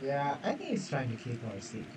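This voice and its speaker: A man's voice: medium pitch, huge pitch swings, a little fast. A man streaming a game with casual, hesitant, musing commentary. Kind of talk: casual live playthrough commentary. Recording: loud game music over voice. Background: loud game music.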